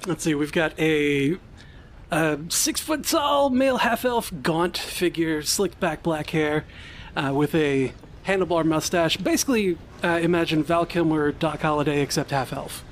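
A man appearing as a guest player on a game podcast, describing things casually and a little hesitantly. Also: unhurried. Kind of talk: casual chat, improvised character description. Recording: clear background noise, low rumble.

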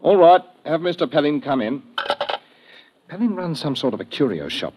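An actor playing a brisk, businesslike police lieutenant in an old-time radio drama, matter-of-fact in manner. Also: male voice, a little high, very animated. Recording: muffled, lightly noisy classic radio recording.

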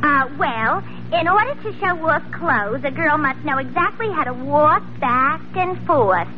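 Shrill-pitched, vain comic single gal. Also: female voice, expressive, measured pace, faint music behind. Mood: vain, huffy. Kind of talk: 1940s radio comedy acting. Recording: muffled old radio recording.

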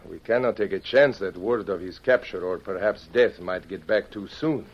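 A man playing a cruel, sly Mexican gang leader with a Mexican accent, smug and menacing, in an old-time radio western. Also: low-pitched. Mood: firm, scheming. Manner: smug, menacing, drawn-out taunting. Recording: dull old radio recording.

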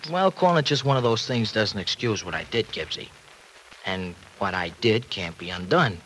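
An actor in a vintage American radio drama playing a rough-edged, soft-hearted regular guy with a slangy, hesitant American way of talking. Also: fairly low pitch, animated, moderate pace. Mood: earnest, regretful. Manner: slangy, hesitant, plain-spoken. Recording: noisy old radio show recording.

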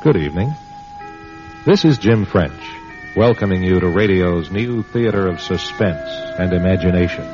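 Low-voiced male suspense narrator over music. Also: lively intonation, normal speed. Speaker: host and narrator. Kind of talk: scripted radio suspense narration. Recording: muffled old radio broadcast. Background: loud dramatic music.

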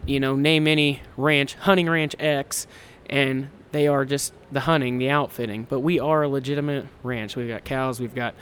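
A man's voice: fairly even intonation, moderate pace, hardly any pauses, a bit bright, a little hiss or hum. A man in his twenties from Kansas, a hunting ranch owner chatting casually in a podcast interview, rambling and self-deprecating with lots of restarts. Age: 20s. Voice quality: high-pitched voice.

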